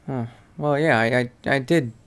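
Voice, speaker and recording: A man's voice: medium pitch, normal speed, clear, resonant tone. A man doing casual, improvised roleplay commentary as he plays a video game, laid-back and hesitant. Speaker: gamer roleplaying as his character.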